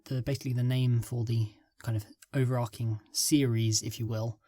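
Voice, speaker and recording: A man's voice: mid-range voice, level tone, moderate pace, mellow, no room sound, clean studio sound. A man being interviewed as a scriptwriter, answering hesitantly and restarting phrases. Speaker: guest, scriptwriter.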